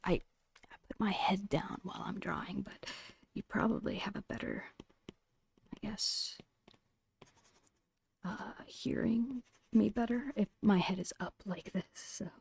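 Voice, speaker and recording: A woman whispering a casual, gentle ASMR drawing tutorial in a breathy, unhurried voice. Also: mid-range voice. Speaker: ASMR host and digital artist.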